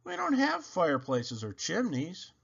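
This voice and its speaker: A man's voice: sits high, wildly swooping pitch, unhurried, creaky, a bit bright, husky, dull audio, voice pushed up, full character voice. A man voicing a cocky, street-smart boy with a brash manner in a children's storybook. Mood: scornful. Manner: brash, snappy.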